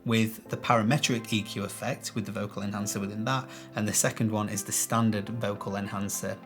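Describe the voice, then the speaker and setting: A man with a bassy voice, explaining a video-editing tutorial to camera in a chatty, self-deprecating way, recorded on a shotgun mic in a small room. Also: level tone, brisk, faint music behind. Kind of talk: casual tutorial talk to camera. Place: small room with some echo. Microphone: shotgun microphone.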